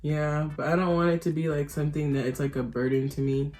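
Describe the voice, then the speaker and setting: A woman's voice: low voice, flat delivery, a little fast, darkish tone, soft background music. Chatty, giggly nineteen-year-old woman. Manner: chatty and giggly, rambling with many likes. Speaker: vlogger having her makeup done. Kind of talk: casual chat.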